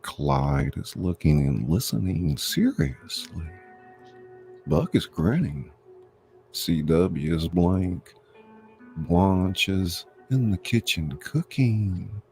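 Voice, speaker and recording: Very deep, slow male screenplay narrator. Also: expressive, vocal fry, warm tone, soft background music. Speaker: narrator of screenplay readings, channel host. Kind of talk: scripted narration of stage directions.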